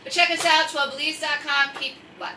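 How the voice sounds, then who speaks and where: A woman hosting a casual livestream, chatting in a rambling, self-interrupting way with animated asides. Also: high-pitched, a little fast, far from the mic. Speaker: online storytime host and craft seller. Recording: echoey room, off-mic, faint noise.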